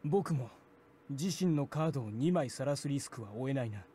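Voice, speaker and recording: A man's voice: medium pitch, lively intonation, fast talker, mellow, a little hiss or hum, natural voice. A man playing a stern, cold, menacing character with clipped, formal, commanding speech in a Japanese visual novel. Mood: guarded, calculating.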